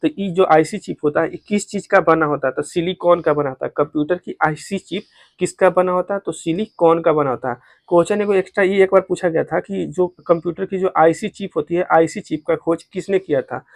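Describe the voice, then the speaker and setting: A man's voice: on the high side, steady tone, fairly quick, gravelly, dry recording. A man with a Bihari accent teaching exam questions as a tutor, repeating each question and answer and mixing in English terms.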